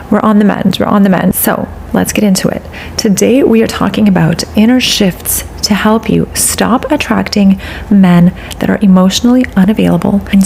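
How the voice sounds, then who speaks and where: A woman with a stuffy, congested voice hosts a dating advice podcast, coaching her listeners with steady encouragement. Her mid-height voice carries a gravelly, fry-tinged edge, and a faint hiss sits under the recording. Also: medium pitch, rough recording. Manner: encouraging, coaching tone with repeated phrases. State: stuffy from a cold. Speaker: podcast host.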